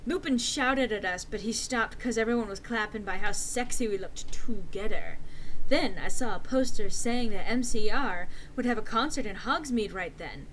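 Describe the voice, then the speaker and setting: A woman's voice: a little high, normal speed, a bit bright, slight background noise, poor audio. A woman narrating a fan fiction story as a theatrical, mock-serious dramatic reading.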